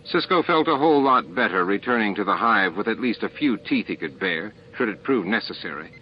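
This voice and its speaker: Low-voiced man narrating a novel, muffled recording. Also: lively intonation, a little fast, slight background noise. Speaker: audiobook narrator. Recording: dull, lo-fi cassette-like sound. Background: background music.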